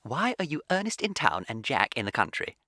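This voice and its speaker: An actor playing a witty, teasing young English gentleman of leisure in a comic radio play, speaking with arch, languid precision. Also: mid-range voice, wildly swooping pitch, fairly quick, no room sound, studio quality. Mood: playful.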